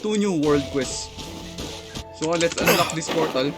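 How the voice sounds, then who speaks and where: Male game streamer, fairly high voice, casual, halting commentary, thinking aloud, game music playing behind.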